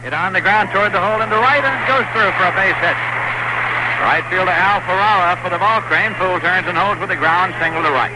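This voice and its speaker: A man's voice: high voice, fast. An American man calling baseball play-by-play in a 1960s radio style, on a noisy old broadcast. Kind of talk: 1960s radio sports commentary.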